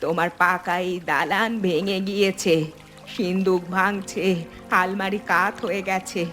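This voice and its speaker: A woman's voice: medium pitch, steady tone, measured pace, sharp tone. A woman voicing a principled village woman in a cartoon, speaking in an earnest, moralizing way. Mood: reproving. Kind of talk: Bengali cartoon dialogue. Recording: music behind the voice.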